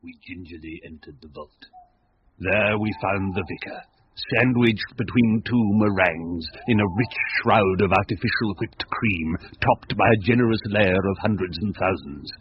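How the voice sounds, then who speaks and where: Man acting a grave British gentleman horror storyteller, low-voiced. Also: moderate pace, close to an everyday voice. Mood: grave, ominous. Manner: grave, pompous storytelling, formal florid phrasing. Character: Wellborn, melodramatic gentleman recounting a horror tale, easily panicked. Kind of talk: mock-gothic comic radio drama narration and acting. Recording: muffled vintage radio recording, faint noise.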